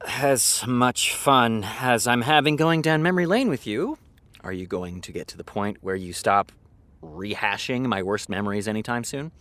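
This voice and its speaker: An actor playing a sardonic, defensive television writer with a dry, theatrical way of talking, in naturalistic audio drama style. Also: male voice, medium pitch, normal speed, fairly bright tone, dry recording, lightly acted. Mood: sarcastic, impatient. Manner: dry, sarcastic, dramatic emphasis. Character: sardonic, defensive male TV showrunner, harried and theatrical.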